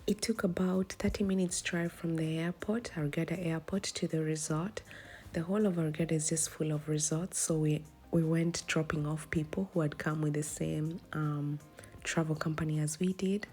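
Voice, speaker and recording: Hoarse, low-voiced woman narrating a vlog. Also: fairly even intonation, normal speed, warm tone. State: hoarse from a cold. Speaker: vlogger. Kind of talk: casual voice-over narration of a trip. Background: background music.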